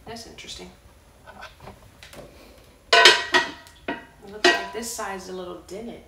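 A woman's voice: medium pitch, fairly even intonation, takes it slowly, creaky. An American woman in her thirties giving casual, playful, chatty unboxing commentary in a living room, recorded from across the room. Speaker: vlogger. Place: carpeted living room indoors. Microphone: camera a few meters away. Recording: distant echoey room, loud clattering handling noise.

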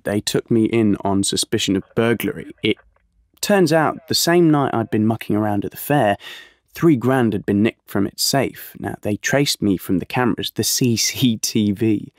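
An actor plays a cheeky, lovesick young British man in an audio drama. His voice is low and gravelly, recorded close and dry. He tells his story in slangy, confiding speech. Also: normal speed, no room sound, natural voice. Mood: confiding, indignant. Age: young adult. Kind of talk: audio play monologue and dialogue.

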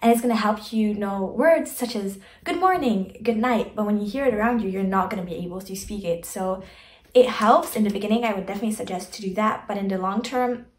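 A young woman giving earnest, chatty advice straight to the camera from her bedroom, speaking with emphatic, reassuring warmth. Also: mid-range voice, fairly quick, echoey room. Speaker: vlogger. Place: bedroom, indoors. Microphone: camera in front, medium framing.

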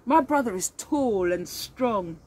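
A middle-aged woman, a teacher reading a picture book aloud to young children in an animated way and explaining as she goes. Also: mid-range voice, slow pace. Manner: animated, questioning, addresses children directly. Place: outdoors in a leafy garden. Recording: outdoor recording with birdsong and ambient noise. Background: birdsong.

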